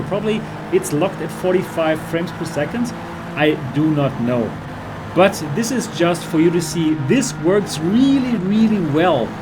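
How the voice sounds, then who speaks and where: A man's voice: a little high, background noise. A man from Germany with a German accent, chatting through a gameplay test as a VR reviewer. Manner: chatty, explanatory, enthusiastic about cars. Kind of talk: casual gameplay commentary.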